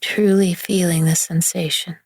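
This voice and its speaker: A woman leading a guided relaxation meditation in a gentle, soothing, hushed manner with long pauses between phrases. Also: on the low side, steady tone, slightly rough, no room sound. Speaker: yoga nidra meditation guide.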